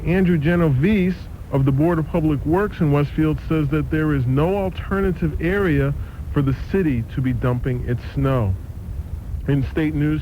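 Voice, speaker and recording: A man reads a scripted local radio newscast. His voice sits a little high for a man, on an old aircheck tape with faint noise and rumble. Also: darkish tone, poor audio.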